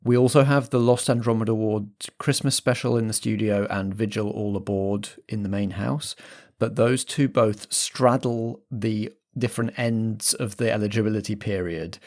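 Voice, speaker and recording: A man's voice: fairly low pitch, steady tone, normal speed, a little mellow. A man hosting as a podcast compere, giving chatty announcements with a theatrical, familiar way of addressing listeners.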